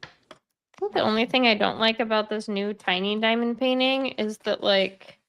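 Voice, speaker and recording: Gravelly-voiced woman chatting casually. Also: fairly high pitch, steady tone, moderate pace, a bit bright. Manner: chatty, rambling with many fillers. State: congested. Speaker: host. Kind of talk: casual chat. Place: at home, sitting in bed.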